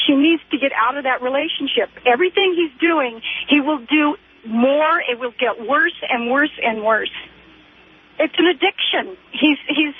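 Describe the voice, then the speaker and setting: An emphatic woman calling a radio show by phone to give insistent, opinionated advice, often repeating words. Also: high voice. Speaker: call-in radio caller. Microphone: telephone. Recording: tinny, narrow phone line on radio.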